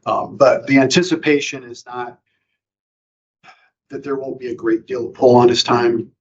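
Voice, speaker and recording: A man from county staff presents at an informal board meeting, stopping and restarting his sentences and leaning on fillers. His voice sits at a middle pitch. The recording of the meeting room sounds dull and lo-fi. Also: steady tone. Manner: halting, many fillers and restarts.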